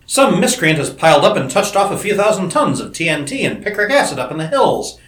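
A man's voice: fairly high pitch, very animated, fairly quick, a bit bright, pitched above the performer's own voice. A man voicing a breezy, teasing young man in a jaunty, raised manner. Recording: roomy echo.

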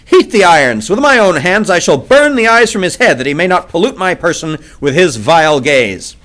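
A man's voice: on the high side, big pitch swings, normal speed, fairly bright tone, pitched above the performer's own voice. A man voicing a cruel, enraged warlord who shrieks his commands in an audiobook. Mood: raging.

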